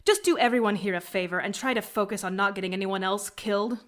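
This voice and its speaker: Game casting: actress for a stern female military tactician, medium pitch, sardonic, sharp-tongued, articulate, little patience. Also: fast talker, natural voice. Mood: scornful, angry. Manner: curt, sardonic, lecturing, articulate. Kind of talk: scripted video game character acting.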